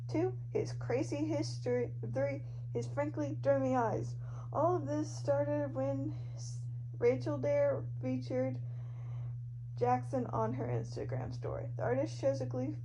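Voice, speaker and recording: High-voiced woman reading fan fiction, noisy recording. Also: fairly even intonation, a little slow. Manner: informal, stumbles over words. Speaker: amateur podfic reader. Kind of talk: expressive fan fiction reading of social media posts and narration. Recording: noisy amateur home recording.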